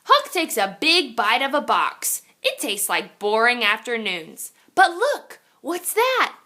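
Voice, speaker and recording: A woman's voice: a little high, huge pitch swings, slow pace, pushed and bright. A woman reading a children's picture book aloud in a theatrical, suspenseful way, drawing out her exclamations. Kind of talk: animated children's story read-aloud.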